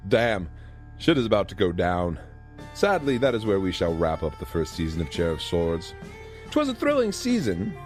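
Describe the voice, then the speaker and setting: Low-voiced, very animated male narrator over music. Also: normal speed. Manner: mock-dramatic. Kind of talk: scripted comic fantasy narration. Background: loud music.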